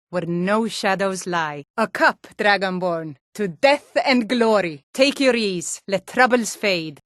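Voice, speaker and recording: A woman's voice: medium pitch, dry recording, studio quality, natural voice. A woman playing a proud, hearty Nord warrior in a fantasy game, declaiming solemnly in lofty, archaic, bardic phrases.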